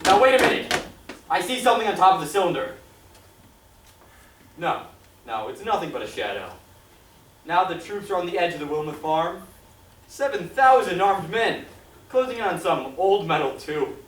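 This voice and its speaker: A man's voice: high-pitched, sing-song, moderate pace, pauses often, a bit bright. Male actor as military field reporter, confident, clipped, 1930s radio drama, echoey stage, far from mic. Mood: mounting alarm. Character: confident male army signal corps captain reporting from battlefield. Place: theatre stage, live performance.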